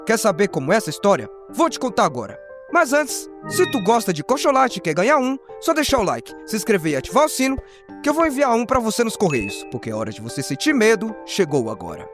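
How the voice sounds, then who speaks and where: A man's voice: sits high, very animated, fast. A man with a Brazilian accent telling stories as a video host, dramatic and slangy with playful asides. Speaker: host of a horror recap channel. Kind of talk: dramatic scripted storytelling narration. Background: music.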